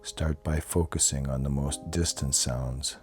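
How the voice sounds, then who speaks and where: Very deep-voiced man guiding meditation. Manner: calm, soothing, measured instruction. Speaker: yoga nidra guide. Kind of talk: guided meditation. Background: soft plucked guitar music.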